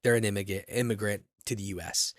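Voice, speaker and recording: A man's voice: on the low side, fairly even intonation, fairly quick, no echo, studio quality. An American man hosting a podcast in a casual, chatty style. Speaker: podcast host. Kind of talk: casual chat.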